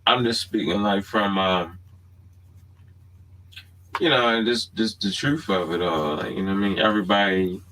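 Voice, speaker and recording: A man's voice: lowish voice, moderate pace, pauses often, bright, forceful tone, a little hiss or hum, rough recording. A man with a Baltimore accent chatting casually as a podcast co-host, often checking in with "you know what I mean". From Baltimore, United States. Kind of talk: casual unscripted chat.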